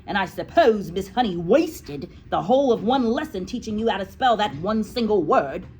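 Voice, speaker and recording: Storybook villain headmistress, played by a woman reader: snappy, sarcastic, swooping pitch, faint room noise. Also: animated, normal speed, a little mellow, close to an everyday voice. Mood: dangerous, sarcastic. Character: menacing, scornful, bullying female school headmistress.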